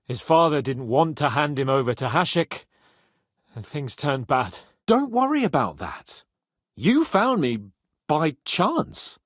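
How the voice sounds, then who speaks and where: A man's voice: on the high side, sing-song, slow, no room sound, clean studio sound, heavily acted. A man playing a cocky, haughty young medieval nobleman in a video game, sardonic, emphatic and brash. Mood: wry, frank. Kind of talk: video game character dialogue.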